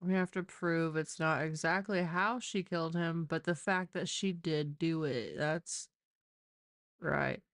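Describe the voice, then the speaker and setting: A woman's voice: sits low, steady tone, measured pace, fairly bright tone, no echo, studio quality. A woman hosting a live stream, chatting informally and giving opinionated true crime commentary.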